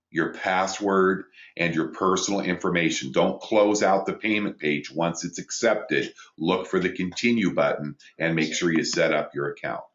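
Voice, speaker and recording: A man with a big booming voice and a very low pitch leads a business training call. He speaks from a small studio that sounds roomy and a little dull. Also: a bit bright. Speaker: host reading viewer questions. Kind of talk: live webinar training talk.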